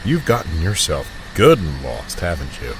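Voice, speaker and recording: A man acts out an older male scientist, a genteel predator living in seclusion. His deep voice moves with lively swings, softly and politely measured. It is a horror audio drama performance with clear stormy noise underneath. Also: heavily acted. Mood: feigned welcome. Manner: soft-spoken, polite, deliberate.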